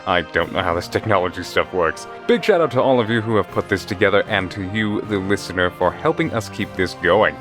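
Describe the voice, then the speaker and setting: A man's voice: sits low, expressive, brisk, few pauses. A man playing a laid-back, scruffy adventurer in a fantasy audio drama, casual and cheeky. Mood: playful. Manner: casual, blithely friendly, slangy. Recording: music behind the voice.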